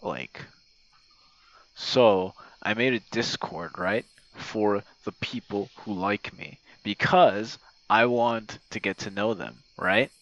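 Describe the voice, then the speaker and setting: A man voicing an old gamer buddy, lonely and self-effacing, in a halting, sweary, casual voice message. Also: fairly low pitch, very animated, gravelly, a bit bright, dull audio, heavily acted. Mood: rambling, earnest. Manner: rambling, halting, frequent swearing. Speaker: audio roleplay performer. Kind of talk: intimate ASMR roleplay voice message, rambling casual talk. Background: night crickets and insects.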